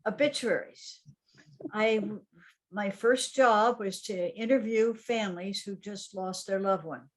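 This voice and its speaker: An older woman, a guest author, recalling her life in a reflective way, with frequent ums and soft laughs. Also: mid-range voice, takes it slowly. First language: English. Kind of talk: conversational interview storytelling.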